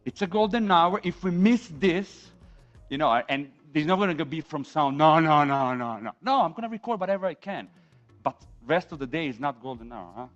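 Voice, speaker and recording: Serbian-accented male lecturer, middle-aged, slightly high voice, animated, dull lo-fi recording with faint music. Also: moderate pace. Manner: animated, acts out on-set quotes, rhetorical "yeah?" tags. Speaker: film sound instructor. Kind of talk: classroom lecture.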